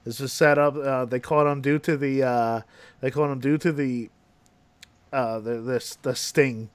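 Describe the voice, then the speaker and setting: Slow, rambling man hosting a chat show. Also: mid-range voice, fairly even intonation, clean tone, no echo. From New Jersey, USA. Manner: rambling with many fillers and restarts, laughs often. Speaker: podcast host. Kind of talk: casual chat.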